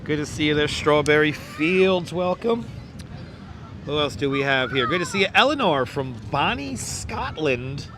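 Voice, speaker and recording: A hoarse, crackly-voiced man, pitched a bit high, chatting outdoors as he greets his livestream viewers by name. Faint traffic and city sounds drift behind him on a clip-on mic. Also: lively intonation, a little slow. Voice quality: crackly, squeaky. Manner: reels off viewer names with repeated welcomes. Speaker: livestream host. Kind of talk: casual live chat greeting viewers. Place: outdoors on a beach boardwalk, city street sounds. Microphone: wireless clip-on mic. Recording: outdoor phone livestream with street noise. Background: faint traffic and city ambience.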